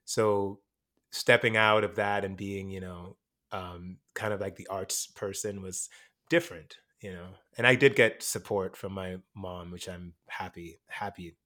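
A man born in Jamaica, an actor and teacher, talking over his experiences in a relaxed interview, with frequent fillers and restarts. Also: deep voice, unhurried. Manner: reflective, many fillers and restarts. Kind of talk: casual interview conversation.

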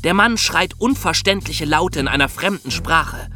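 Lively guy narrating a story podcast, bright highish voice. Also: normal speed, faint music behind. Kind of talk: dramatic scripted storytelling for young listeners.

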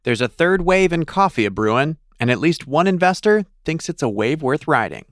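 A man hosting a podcast, reading scripted narration in a lively, playful storytelling way. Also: fairly high pitch, moderate pace, no echo, clean studio sound.

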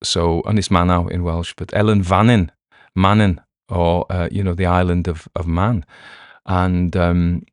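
A man from the Cumbrian coast talking in a rambling, halting way, full of fillers and restarts, while recovering from a cold. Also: low-pitched, level tone, no echo, studio quality. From Cumbrian coast, England. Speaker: story reader and podcast host. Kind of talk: casual spoken commentary between readings. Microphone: headphones on, home recording.

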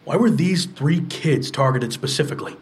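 A man's voice: fairly high pitch, normal speed, lightly acted. An actor playing a skeptical man who questions everything in an audio drama support group scene.

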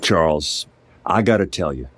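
A man's voice: sits low, lively intonation, moderate pace. A man playing a mocking, swaggering, blunt ex-Marine enforcer in an audio drama. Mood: taunting. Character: cocky, needling, foul-mouthed ex-Marine tough guy. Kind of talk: audio drama acting, taunting monologue.

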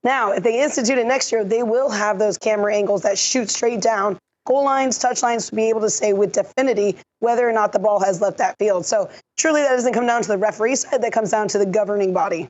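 Woman analyst, voice gone husky. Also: medium pitch, darkish tone, dull audio. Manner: measured, explanatory, technical. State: lost her voice. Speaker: refereeing analyst. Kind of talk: podcast discussion.

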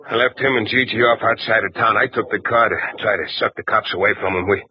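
An actor playing a surly, sneering crook on the run, in a 1940s radio drama with a dull old broadcast sound. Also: male voice, lowish voice, fast talker, a little hiss or hum, close to an everyday voice.

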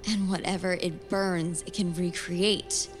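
A woman's voice: fairly low pitch, steady tone, unhurried, over music, lightly acted. A woman playing a stubborn, sarcastic young woman with an American accent in a naturalistic audio drama. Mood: puzzled.

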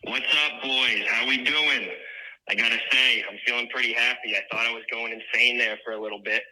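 A man leaves a casual fan message on a phone voicemail. His voice is mid-pitched and extremely bright, with a hard, sharp edge, and it is heard as telephone playback. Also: fairly even intonation, fairly quick, poor audio.

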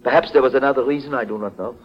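An actor playing a polite, anxious foreign exporter in a 1940s radio detective drama, speaking formally and hesitantly. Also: male voice, mid-range voice, rapid-fire, soft background music, close to an everyday voice. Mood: guarded, grateful. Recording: dull old 1940s radio broadcast.

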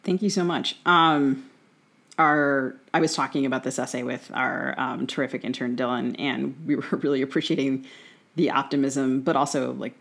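Gravelly-edged man hosting an interview. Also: mid-range voice, little pitch movement, normal speed, a bit bright. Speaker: podcast host, interviewer. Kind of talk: conversational literary interview.

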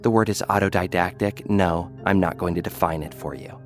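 A man's voice: low voice, steady tone, a little fast, faint music behind. A man narrating a scripted audio drama in first person as an arrogant scientist, condescending and dryly sarcastic. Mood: smug. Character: arrogant male scientist who builds people. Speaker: narrator and lead character.

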